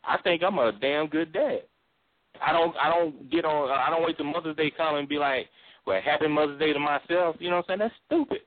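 Man, baritone, quick emphatic speech, frequent laughs, dry thin phone-line sound. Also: no room sound. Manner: emphatic, laughs often, repeats "you know what I'm saying". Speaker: guest or co-host on a call-in talk show. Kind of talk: casual opinionated chat.

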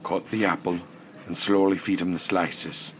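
Frail, gentle old healer male performer, low sighing delivery, storybook tale over music. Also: little pitch movement, a little slow, slight background noise. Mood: calm, instructing. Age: older. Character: kind, weary, frail old man, hermit healer. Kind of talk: storybook character acting.

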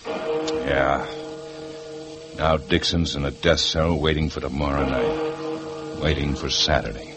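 An actor playing a weary, determined police lieutenant in a 1950s radio drama, asking low, measured, insistent questions. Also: male voice, fairly even intonation, over loud music, exaggerated voice. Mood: brooding.

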